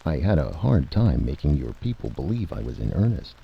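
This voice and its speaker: A man plays an earnest little-nation envoy in an old radio drama. His deep voice swings with formal, insistent salesmanship. The recording is muffled and a bit noisy. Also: very animated, brisk, vocal fry, exaggerated voice. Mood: earnest, proud. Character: earnest, stiff male envoy of a tiny nation.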